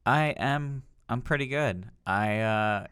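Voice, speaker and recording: A man's voice: mid-range voice, takes it slowly, fairly bright tone, clean tone, no room sound, studio quality. A young man co-hosting a podcast with casual, conversational banter. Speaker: podcast co-host.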